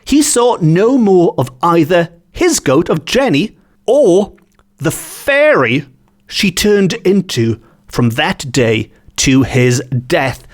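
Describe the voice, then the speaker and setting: A man's voice: a little high, big pitch swings, slow pace. A man from Wales hosting a storytelling podcast, retelling a folk tale conversationally with chatty asides, repeated phrases for emphasis and self-corrections.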